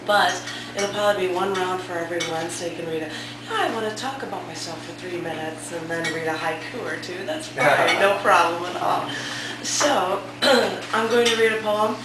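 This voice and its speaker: Woman, scratchy throat, fairly low voice, chatty open mic host, echoey cafe from far off. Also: moderate pace, over a noisy crowd. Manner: chatty, self-deprecating, lively greetings. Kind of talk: casual hosting, then poetry reading.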